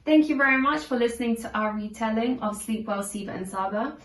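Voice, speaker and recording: Echoey, higher-pitched woman reading a storybook. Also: fairly quick. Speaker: storybook narrator. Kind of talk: scripted read-aloud children's story narration. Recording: echoey room, distant from microphone.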